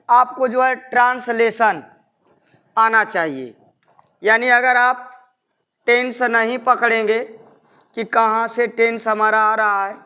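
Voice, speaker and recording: A male teacher delivers an explanatory lesson in a bright, forward voice. His speech is slow and very clean in tone. He goes through each point methodically, restating it as he goes. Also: very high-pitched, clear, resonant tone. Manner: methodical, repetitive step-by-step explaining. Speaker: English grammar teacher. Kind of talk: explanatory classroom-style lesson.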